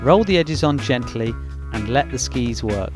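A man narrates ski technique in a calm, measured voice-over. His voice sits at an ordinary middle pitch, with guitar music playing clearly under him.

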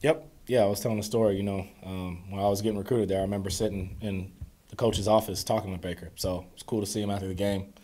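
A young man in his twenties speaks at a press podium with a low, dark, mellow voice. He sounds calm and measured and leans on lots of easy fillers. The sound is close and clean from the podium microphones. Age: 20s. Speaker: quarterback at a postgame press conference. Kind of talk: postgame press conference answers. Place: press conference room before a sponsor backdrop.

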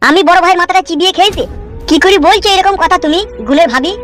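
A man's voice: very high voice, rapid-fire, loud music behind the voice. A man voicing a placating, deferential henchman of a village boss in a comedy cartoon. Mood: protesting.

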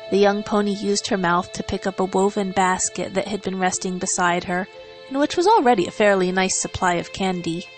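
A woman's voice: medium pitch, a little fast. Woman narrating a story over music. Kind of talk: dramatic scripted story narration.

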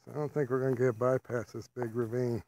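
A man's voice: mid-range voice, level tone, fast, darkish tone, no room sound. A man narrating casually while hiking through a wooded ravine, rambling in a laid-back, folksy way, recorded on a handheld camera outdoors. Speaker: vlogger. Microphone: handheld camera while walking.

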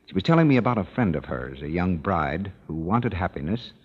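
A man's voice: deep voice, very animated, a little fast, slightly rough. A man working as a radio announcer, with a formal, salesy delivery in 1930s broadcast style. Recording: dull old radio broadcast, hall reverb.

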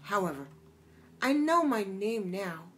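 Guy doing a shy little girl voice, way higher than his own, slow and soft. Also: sing-song, a little hiss or hum, exaggerated voice. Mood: hopeful. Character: lost, puzzled young girl, gentle and polite.

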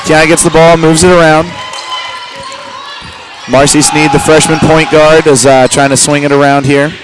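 A man with a loud voice giving halting, amateur live play-by-play of a basketball game. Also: a little high, little pitch movement. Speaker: play-by-play sports commentator. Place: large indoor school gymnasium during a game. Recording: noisy gym crowd and bouncing ball. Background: crowd noise, basketball bounces.

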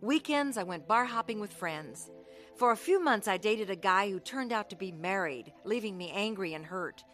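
A woman's voice: medium pitch, lively intonation, moderate pace, fairly bright tone, faint music behind, natural voice. An actress playing an earnest young woman telling her life story of faith in a radio drama. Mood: earnest narrating. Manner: earnest, heartfelt. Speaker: actress narrating and playing the lead. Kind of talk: radio drama acting with first-person narration.

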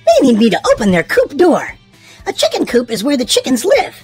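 A high-pitched woman narrates a children's storybook with hugely swooping intonation. Her voice is very husky and breathy. She stresses words in a sing-song, expressive read-aloud style for small kids. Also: a little fast, dry recording, soft background music.

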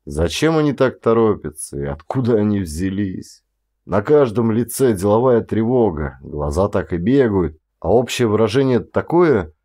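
A man with a low voice reads as an audiobook narrator. His delivery is that of a stage actor performing literary prose. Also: darkish tone.

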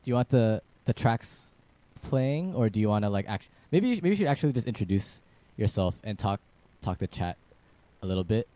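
A young man hosting a music livestream, chatting to viewers and his co-host with quick asides and restarts. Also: lowish voice, sing-song, warm tone, no room sound. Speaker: violinist and stream host. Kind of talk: casual livestream chat. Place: home music studio room. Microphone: studio mic on a stand.

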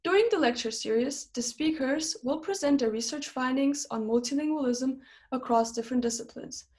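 A woman's voice: high-pitched, normal speed, darkish tone. A young woman hosting an interview series, delivering a scripted introduction to camera. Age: young adult. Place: small plain room indoors.

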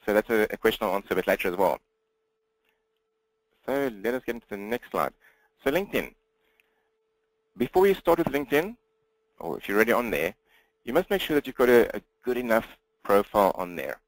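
A South African man presents to an online audience at a rapid clip. His words run together slightly and he often restarts a phrase. The sound is dry and close, with no room around it. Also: fairly low pitch, sing-song, no echo, poor audio. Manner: fast, slightly mumbled delivery with frequent restarts. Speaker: guest presenter, business coach. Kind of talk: webinar presentation.

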